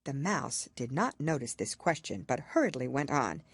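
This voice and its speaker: A woman's voice: rumbling low, expressive, normal speed, husky, dry recording, clean studio sound. A woman narrating a children's storybook from a script, as an audiobook reader.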